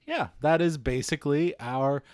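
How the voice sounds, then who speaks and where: A man's voice: fairly high pitch, moderate pace, darkish tone, no room sound. A man narrating a casual screen-share vlog, informally thinking aloud as he works through tasks on the computer. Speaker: vlogger and video editor.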